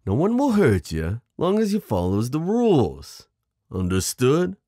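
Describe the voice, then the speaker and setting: A man voicing a smooth, possessive mafia boss in an ASMR roleplay, menacing yet protective, teasing the listener with pet names. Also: medium pitch, huge pitch swings, unhurried, warm tone, no echo, clean studio sound, pitched above the performer's own voice. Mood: firm, condescending. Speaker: audio roleplay performer. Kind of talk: ASMR roleplay drama acting.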